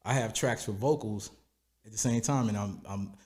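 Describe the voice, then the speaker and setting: A raspy-voiced man, a music producer and singer, telling stories in a relaxed, rambling way in a podcast interview. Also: lowish voice, moderate pace. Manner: relaxed, rambling, repeats "you know what I'm saying". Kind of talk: casual interview storytelling.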